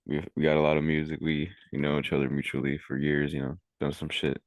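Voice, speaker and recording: A man's voice: a bass voice, flat delivery, quick pace, rough recording. A young man from Venezuela, a rapper and engineer, chatting casually in an interview, rambling and slangy with lots of "like". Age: young adult. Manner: laid-back, rambling, heavy on "like" and slang.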